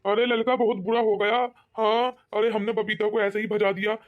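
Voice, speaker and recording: A woman's voice: mid-range voice, little pitch movement, normal speed, narrow, tinny audio, lightly acted. A woman voicing a regretful older village father-in-law in an amateur cartoon comedy skit, rambling ruefully.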